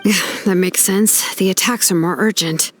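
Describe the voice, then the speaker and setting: A woman's voice: medium pitch, fairly even intonation, normal speed, natural voice. A woman playing a direct, dependable young female fighter in a video game. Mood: practical, relieved. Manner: direct, practical. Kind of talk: video game character acting.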